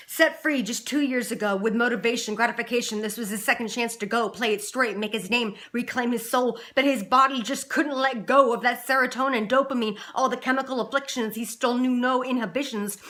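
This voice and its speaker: A woman's voice: fairly high pitch, a little fast, few pauses, a bit bright. A young adult woman performing dramatic slam poetry with no music, in a rhythmic, emphatic, rhyming delivery. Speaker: slam poet.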